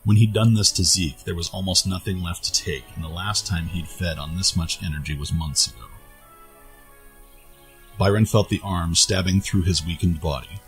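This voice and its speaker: A man's voice: low-pitched, moderate pace, soft background music. A man reading scripted narration for a fantasy adventure story.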